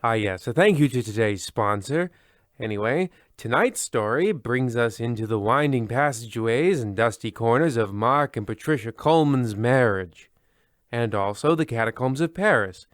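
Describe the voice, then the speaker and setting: A man's voice: mid-range voice, lively intonation, moderate pace, dry recording, natural voice. Man acting a pompous horror-show host, very dry sound. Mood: ominous, pompous. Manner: pompous, petulant, florid. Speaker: narrator and host. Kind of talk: mock old-time radio horror narration.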